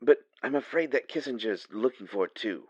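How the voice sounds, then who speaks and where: A man's voice: mid-range voice, expressive, brisk, slightly rough, dry recording, highs cut off. A man playing a worried, secretive ally in an audio roleplay, speaking urgently and dramatically as if leaving a phone message. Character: urgent, worried male ally delivering a grave warning.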